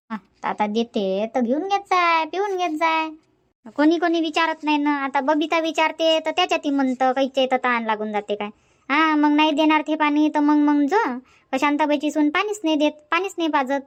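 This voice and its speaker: Cartoon dub: woman as gossipy village neighbour, Vidarbha accent, high voice. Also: natural voice. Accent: Vidarbha Marathi. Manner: gossipy, complaining, rambling.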